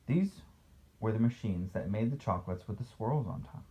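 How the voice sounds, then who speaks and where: Mellow dad reading a storybook, slightly muffled. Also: male voice, lowish voice, gravelly. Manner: playful, engages the child with questions. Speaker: father reading a picture book aloud to a child. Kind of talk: storybook read-aloud with casual asides.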